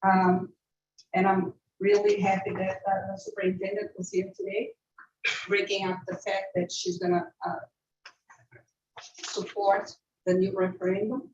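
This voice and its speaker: A hoarse, nervous woman from Brazil making a public comment at a city meeting, halting with many fillers and coughs. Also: mid-range voice, flat delivery, a little mellow, slight background noise. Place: city commission chamber. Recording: distant roomy muffled hall mic.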